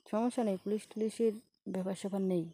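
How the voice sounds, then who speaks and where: A woman's voice: mid-range voice, steady tone, fast, warm tone, slightly rough, close to an everyday voice. A woman with a Bangladeshi accent playing a brash, persuasive city woman, chatting casually in a staged phone-call audio story. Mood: coaxing. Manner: casual, matter-of-fact, coaxing.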